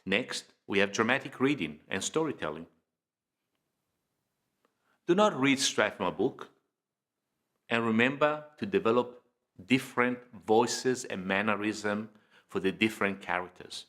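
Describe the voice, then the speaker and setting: Guy doing an instructional video, bright crisp-edged voice, deliberate phrasing w pauses, stresses the key words. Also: on the low side, lively intonation. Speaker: presenter of public speaking training video. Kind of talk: informative instructional lecture, scripted delivery.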